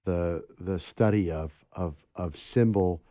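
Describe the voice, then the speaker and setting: A man interviewing a filmmaker, halting and repeating words as he asks questions and offers supportive backchannels. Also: sits low, warm tone, no room sound, clean studio sound. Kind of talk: interview chat.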